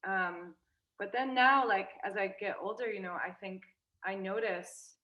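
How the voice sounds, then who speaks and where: A woman's voice: medium pitch, unhurried, highs cut off. A young woman, a guest in a casual interview, talking hesitantly with many fillers and restarts. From United States.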